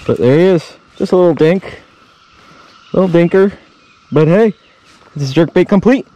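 A man's voice: high-pitched, normal speed, no echo, dull audio. Laid-back man narrating his fishing outing. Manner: laid-back, chatty, bursts of excitement at catches. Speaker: fishing vlogger. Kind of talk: casual play-by-play while fishing. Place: outdoors wading a river tributary. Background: faint crickets and insects outdoors.

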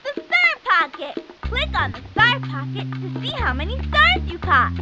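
Young girl cartoon adventurer for kids' game: upbeat, slow, crisply enunciated prompts, wildly animated delivery, music behind. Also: very high-pitched, full character voice. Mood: bright, friendly. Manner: clearly enunciated, instructive, enthusiastic. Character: cheerful, encouraging little girl cartoon explorer. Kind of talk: children's educational game prompts.

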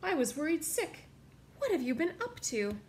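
Storybook mother wombat, fretful and slightly raised in pitch, a woman reading. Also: very animated, moderate pace, a little hiss or hum. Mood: worried. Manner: anxious, fussing. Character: worried, loving mother wombat.

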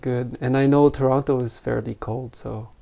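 A meditation teacher of unclear sex guiding a group in gentle, spontaneous talk, unhurried, with long pauses between short phrases. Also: mid-range voice, steady tone, creaky. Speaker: meditation teacher leading an online retreat.

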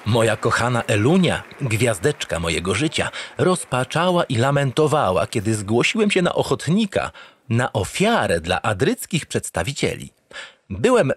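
An actor playing a pompous, naive spaceship captain, narrating a comic sci-fi radio play in a theatrical, grandiloquent, digressive way. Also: male voice, medium pitch, slightly rough, no room sound. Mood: fond, boastful. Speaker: lead actor in an audio drama.

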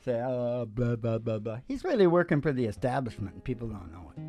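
A man's voice: medium pitch, sing-song, a little fast, warm tone. Older man, rambling, with guitar behind him. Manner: opinionated, crude joking. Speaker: musician and show host. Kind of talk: rambling casual chat between songs. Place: small dim curtained room. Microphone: close vocal microphone on a stand. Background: acoustic guitar.

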